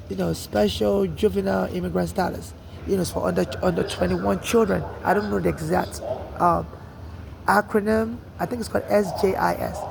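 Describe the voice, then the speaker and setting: A man's voice: high-pitched, gravelly, low-quality recording. A man from Nigeria with a Nigerian accent, telling his own story in a rambling way with many restarts and repeated "you know". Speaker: interviewee. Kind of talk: street interview, personal account.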